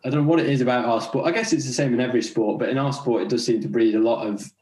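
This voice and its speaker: A man's voice: medium pitch, rapid-fire, roomy sound. A young man with an English accent, raised partly in Scotland, chatting casually as a podcast guest and laughing as he tells stories. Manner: relaxed, chatty, laughs while telling stories. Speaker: podcast guest, racing rider. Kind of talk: casual interview chat.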